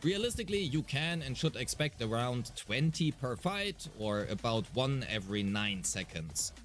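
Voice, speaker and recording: A man narrates a detailed game guide over background music. His mid-pitched voice moves in lively swings as he explains methodically, with a slightly wry edge. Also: moderate pace.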